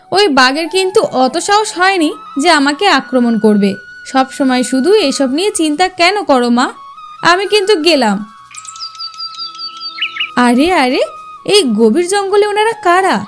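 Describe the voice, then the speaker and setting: A woman voicing a kind, spirited young forest girl, earnest and confident, in a cartoon fairy tale. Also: high voice. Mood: carefree, confident.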